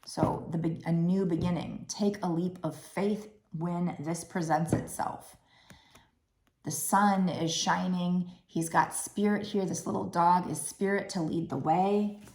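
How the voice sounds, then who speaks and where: A woman doing a tarot card reading, talking gently and musingly with restarts, her throat a little raspy. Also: on the low side, fairly even intonation, a little slow, roomy sound. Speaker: tarot reader.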